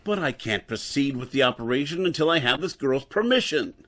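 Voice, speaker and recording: A man's voice: medium pitch, animated, a little fast, a bit bright, close to an everyday voice. A man playing an earnest, scrupulous professor and surgeon in an old-time radio horror play, formal and concerned. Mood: formal, cautious.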